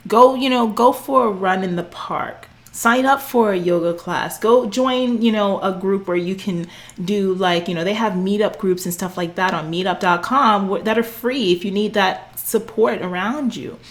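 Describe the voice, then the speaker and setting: A woman's voice: medium pitch, moderate pace, few pauses. A fitness coach in her forties speaks straight to the camera, sassy and preachy, calling her listeners honey and girl. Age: 40s. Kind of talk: direct-to-camera coaching talk.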